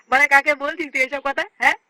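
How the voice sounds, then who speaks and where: A performer voicing a bossy, swearing village gang leader in a comedy cartoon, with a pitched-up voice and crude delivery. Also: moderate pace, sharp tone, no room sound. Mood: griping. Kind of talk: comic cartoon dialogue with slangy swearing.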